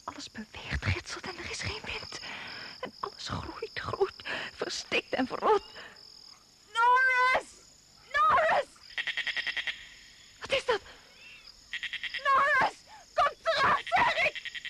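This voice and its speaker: A woman's voice: extremely high pitch, expressive, takes it slowly, long pauses, sharp tone, exaggerated voice. An actress playing a frightened, overwrought woman alone in a jungle, agitated, in a radio play. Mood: desperate calling. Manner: agitated, calling out, dropping to whispers. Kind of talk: Dutch radio play acting. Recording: noisy old radio play recording.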